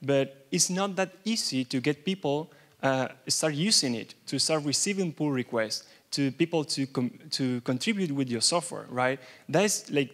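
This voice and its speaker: Argentine guy, spanish native, keynote in a big conference hall, halting and nervous. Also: medium pitch. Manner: nervous, halting, many restarts. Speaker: keynote speaker.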